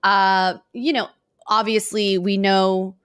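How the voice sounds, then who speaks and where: A woman's voice: mid-range voice, steady tone, slow pace, fairly bright tone, smooth, no room sound. A woman hosting a sports podcast, chatting casually about football in an informal, upbeat manner.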